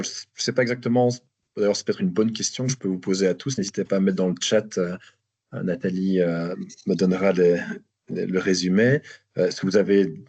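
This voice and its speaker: Belgian-accented man, low husky voice, hesitant webinar presenter, video call. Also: a little mellow. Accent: Belgian French. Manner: hesitant, many restarts and fillers. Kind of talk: live online presentation.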